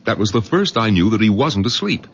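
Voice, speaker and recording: Proud, exasperated doctor with swooping deep voice. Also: male voice, big pitch swings, a little fast. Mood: defensive. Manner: indignant, emphatic, self-justifying. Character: exasperated, proud village doctor, arthritic son-in-law. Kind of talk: 1970s radio drama acting. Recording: muffled, noisy old radio recording.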